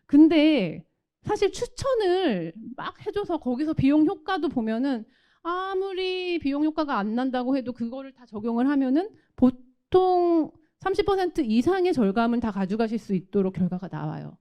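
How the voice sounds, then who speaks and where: A woman's voice: sits high, big pitch swings, normal speed, mellow. A woman giving a conversational, explanatory tech product presentation and demo. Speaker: tech product presenter from a company's automation division.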